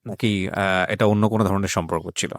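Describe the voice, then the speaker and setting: Very fast-talking Bangladeshi male interviewer. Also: deep voice, steady tone, dry recording, clean studio sound. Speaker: radio show host. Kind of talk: interview, probing follow-up questions.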